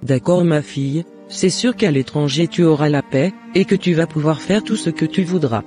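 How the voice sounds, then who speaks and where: Cartoon mother, middle-aged, remorseful and apologetic , male actor, mellow timbre, slightly raised pitch. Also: moderate pace, faint music behind, natural voice. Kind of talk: scripted cartoon dialogue.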